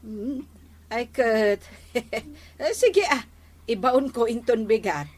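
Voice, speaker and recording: A woman's voice: fairly high pitch, lively intonation, drawn-out words, a little mellow, full character voice. A woman playing an easygoing village captain's wife in a radio comedy drama, hesitant and agreeable.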